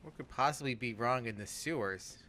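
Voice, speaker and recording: A man's voice: mid-range voice, a little fast, pushed and bright. A man hosting a let's play, giving casual gaming commentary.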